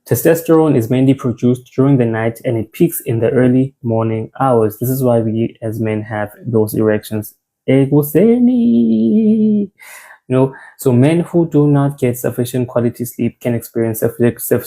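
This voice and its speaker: South African man, mellow health talk. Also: mid-range voice, normal speed. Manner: conversational, repeats phrases and restarts words. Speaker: men's health presenter. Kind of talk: informal health talk, mixing in isiZulu words.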